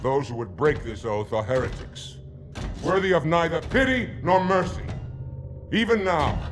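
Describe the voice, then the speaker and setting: A male actor as a stern alien warrior leader in a game cutscene. He speaks in a somewhat raised register with wide, commanding swings, full of devout pride and contempt. Music runs clearly underneath. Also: on the high side, animated, moderate pace, natural voice. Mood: rousing, zealous. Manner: oratorical, commanding. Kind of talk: cinematic video game voice acting.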